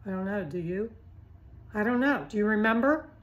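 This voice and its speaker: A woman's voice: medium pitch, animated, normal speed. A middle-aged woman giving an informal, chatty health talk, blunt and teasing, firing rhetorical questions at the listener. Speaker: health vlogger.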